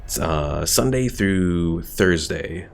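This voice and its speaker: A man's voice: deep voice, animated, slow, vocal fry, a little hiss or hum. A man casually chatting with viewers while streaming a strategy game, laid-back and rambling. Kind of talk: casual live stream commentary.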